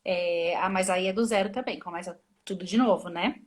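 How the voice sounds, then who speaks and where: A woman's voice: lowish voice, moderate pace. A woman translator, a guest on a live video stream, talking casually and thinking aloud with many restarts. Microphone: phone or laptop mic on a video call.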